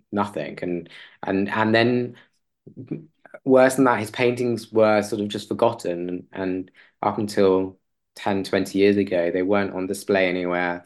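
A man speaking as an interview guest in a conversational way, hesitant and halting, often rephrasing as he goes. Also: low-pitched.

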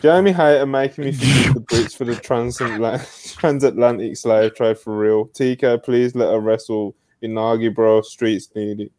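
A man's voice: mid-range voice, fairly even intonation, normal speed, few pauses, a little mellow, no echo. Dry-sounding British man hosting. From England. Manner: laid-back, laughing asides. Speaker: podcast host. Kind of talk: casual chat reading comments. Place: home setup on a video call.

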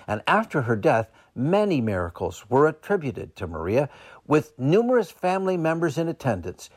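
A male radio announcer delivers scripted narration in a medium-pitched voice. His intonation is lively and moves widely up and down as he reads. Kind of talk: scripted narration, radio weather and saint of the day.

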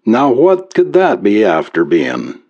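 A man's voice: fairly low pitch, huge pitch swings, slow pace, darkish tone, lo-fi sound, exaggerated voice. A man voicing a curious, breezily confident alien visitor who speaks in a folksy Irish brogue. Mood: curious, amazed.

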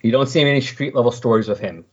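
A man's voice: mid-range voice, fast talker, slightly rough, muffled recording. A man hosting a hobby podcast, talking in a casual, conversational style. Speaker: podcast host. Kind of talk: casual comics podcast talk with read synopsis.

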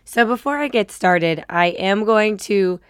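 Woman podcast host, cold-roughened raspy voice, encouraging, dry close sound. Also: medium pitch, expressive, a little slow, no room sound. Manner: upbeat, chatty, encouraging. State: has a cold, slightly raspy. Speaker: podcast host and style coach. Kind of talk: casual faith-based podcast talk.

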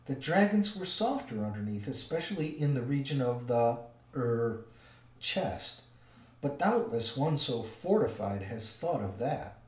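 A man voicing a small, timid male hobbit who flatters nervously in a slightly squeaky voice, in an echoey room away from the mic. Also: unhurried, far from the mic. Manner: polite, anxious, squeaky.

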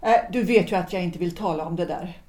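A woman playing a composed, guarded politician's wife in a period audio drama, measured and dryly ironic in her delivery. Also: on the low side, dark tone, natural voice. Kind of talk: period stage-play audio drama acting. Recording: echoey room.

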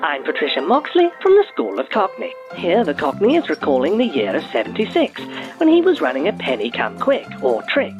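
A woman playing a very lively prim professor. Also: lowish voice, fairly quick, muffled recording, music in the background. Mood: explaining. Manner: formal, explanatory. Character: prim female professor who translates Cockney. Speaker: expert guest.

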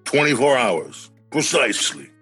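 A man's voice: medium pitch, sing-song, a little slow, sharp tone, slightly rough, soft background music, full character voice. An actor playing an eccentric, philosophical man who is cheerfully weird, in a whimsical, musing radio play manner. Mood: musing, grand. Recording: home-recorded virtual radio play.

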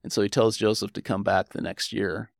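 A man's voice: lowish voice, little pitch movement, brisk, creaky, no echo, clean studio sound. A man speaking as a historian guest, explaining religious history in a conversational way.

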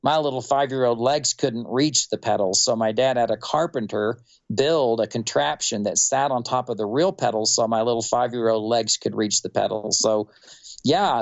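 A man from North Texas with a Texan accent, telling stories in a relaxed, unhurried interview with many fillers. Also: mid-range voice, highs cut off. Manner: unhurried, rambling storyteller with fillers. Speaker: quilter and musician guest.

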